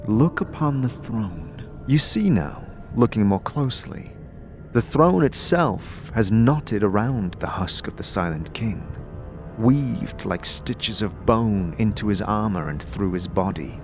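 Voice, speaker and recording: A man narrates a dark fantasy audio drama in the second person. His delivery is slow and deliberate and his voice sits somewhat low, with music clearly audible underneath. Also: expressive. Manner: measured, dramatic storytelling.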